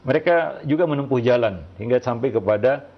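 A male religious teacher answering listener questions in a measured, hesitant way, often tagging his phrases with short fillers. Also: mid-range voice, expressive. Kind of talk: religious Q&A lecture. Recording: muffled lo-fi audio.

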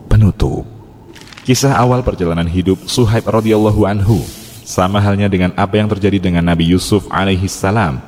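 A man calmly narrating a scripted religious story in a measured way. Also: low-pitched. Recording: long reverb.

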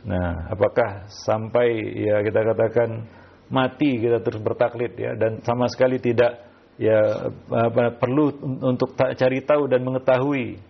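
Muffled audio, man, husky rough voice, Islamic teacher, halting delivery, filler tags, Arabic quotations. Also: medium pitch, steady tone. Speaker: Islamic teacher answering listeners' questions. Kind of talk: religious question-and-answer teaching.

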